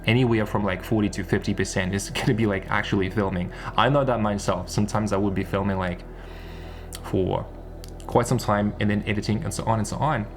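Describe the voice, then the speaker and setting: A man's voice: fairly low pitch, steady tone, a little fast, music in the background. A man giving an unscripted, rambling monologue as an online content creator, repeating phrases and posing rhetorical questions. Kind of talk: unscripted one-take monologue.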